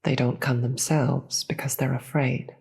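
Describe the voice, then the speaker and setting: A performer voicing a proud, imperious demon queen in a fantasy audio roleplay, deliberate and theatrical, with a breathy voice and contempt for the gods. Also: medium pitch, fairly even intonation, darkish tone, exaggerated voice. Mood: scornful, resolute. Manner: deliberate, theatrical, grandiose speech with dramatic pauses.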